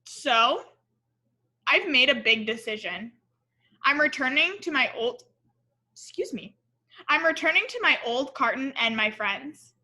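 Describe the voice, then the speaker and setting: High, bright-voiced woman reading a storybook. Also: a little slow, pauses often. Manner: expressive, explanatory, asks the listeners questions. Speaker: teacher reading a picture book aloud to children. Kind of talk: read-aloud storytelling with asides to young listeners.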